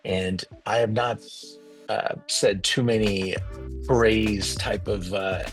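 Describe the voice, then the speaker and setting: A man hosting a wine interview over a video call, enthusiastic and often pausing on fillers. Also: on the low side, fairly even intonation, over music. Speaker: wine show host.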